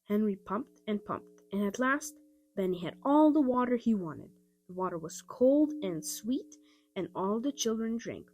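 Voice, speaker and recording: A woman narrating a children's audiobook in an expressive storytelling style. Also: medium pitch, measured pace, faint music behind. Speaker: storybook reader.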